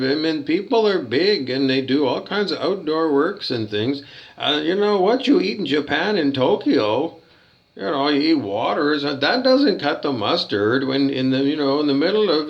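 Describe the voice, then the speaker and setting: A man ranting in a rambling, hesitant way, repeating himself and swearing when riled. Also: a little high. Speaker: hotel guest reviewing his loot bag. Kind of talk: rambling complaint rant. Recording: dull lo-fi recording.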